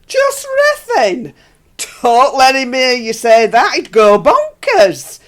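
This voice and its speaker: A woman's voice: sits high, huge pitch swings, slow, full character voice. A woman acting a weary, put-upon Yorkshire housewife in a radio play, with a dry, grumbling way of talking. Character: weary, put-upon married northern English housewife, unimpressed.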